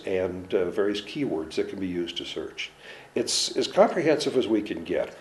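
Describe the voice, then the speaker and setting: A man who treats musicians and dancers, giving a measured, earnest, halting explanation in an interview. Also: fairly low pitch, darkish tone. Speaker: clinician in performing arts medicine.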